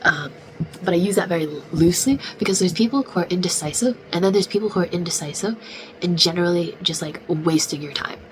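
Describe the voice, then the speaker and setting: A woman's voice: on the low side, quick pace. Congested young woman venting, noisy background. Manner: chatty, venting, many "like" fillers. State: congested, losing her voice. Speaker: vlogger. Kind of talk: casual vlog chat.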